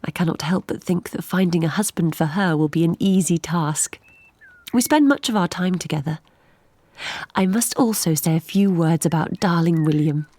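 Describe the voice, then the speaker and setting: An actress playing a tender, yearning young gentlewoman, reading her letter softly and gently in an audio drama. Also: on the low side, dry recording. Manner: soft, gentle, breathy at times.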